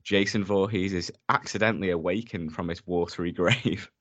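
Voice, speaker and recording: A man co-hosting a podcast, sick with a rough voice that is deeper than usual, laying out facts steadily. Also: moderate pace, dry recording. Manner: steady, presents facts and synopsis methodically. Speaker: podcast co-host. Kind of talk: casual chat.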